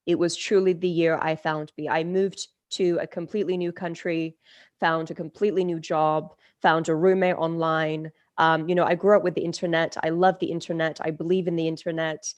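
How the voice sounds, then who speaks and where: A woman's voice: low voice, little pitch movement, normal speed, no room sound, muffled recording. A woman from Turkey, a spiritual teacher, telling her life story in a podcast interview, reflective with many fillers and restarts.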